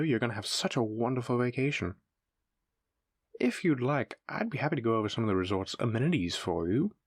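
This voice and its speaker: A man's voice: mid-range voice, dry recording, clean studio sound, lightly acted. A man playing a polite, eager demon front desk clerk in a scripted roleplay, with formal, effusive hospitality patter. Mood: delighted, helpful. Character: courteous, eager demon hotel receptionist, smooth salesman. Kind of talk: scripted roleplay audio addressed to the listener.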